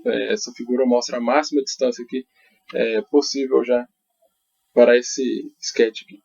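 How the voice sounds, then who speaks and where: Male Brazilian researcher for a conference paper, mid-pitched and raspy, halting delivery with frequent tag questions, slightly muffled recording. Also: little pitch movement, a little mellow. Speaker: researcher presenting a study. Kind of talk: academic conference presentation. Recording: dull, lo-fi audio.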